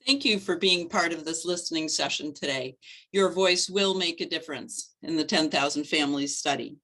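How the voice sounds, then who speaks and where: A middle-aged woman, a study coordinator, giving a clear, steady scripted presentation on a webcam from her living room. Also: low-pitched, moderate pace.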